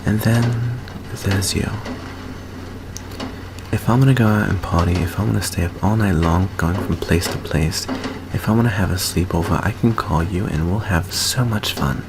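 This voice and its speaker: A man playing an affectionate, anxious young student in an ASMR roleplay, speaking softly and hesitantly in an intimate hush. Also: low-pitched, moderate pace, background noise. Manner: soft, hushed, hesitant, intimate. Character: anxious, affectionate young male vampire student, sentimental best friend.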